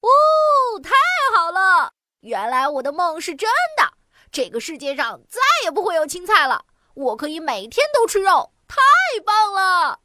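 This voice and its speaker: A woman voicing a small whiny child in a storybook, with a squeaky, drawn-out, wailing delivery. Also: big pitch swings, pushed and bright, dry recording, exaggerated voice. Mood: excited. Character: whiny little child who hates vegetables. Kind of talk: storybook voice acting.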